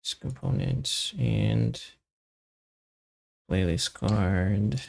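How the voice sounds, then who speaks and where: A man thinking aloud as he hosts a live coding stream, muttering in halting, half-finished phrases. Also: fairly low pitch, creaky, darkish tone.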